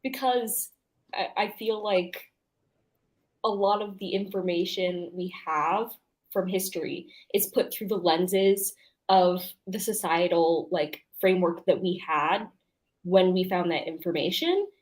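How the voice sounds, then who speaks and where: A woman guest on a live book show, discussing casually in a thoughtful, halting way and often correcting herself. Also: on the low side, darkish tone.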